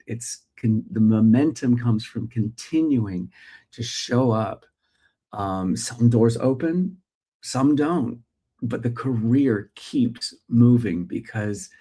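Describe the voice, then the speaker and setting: A middle-aged Australian man hosting a casual morning livestream, thinking aloud with pauses and restarts while greeting his viewers. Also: fairly low pitch, warm tone, slightly rough. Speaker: host.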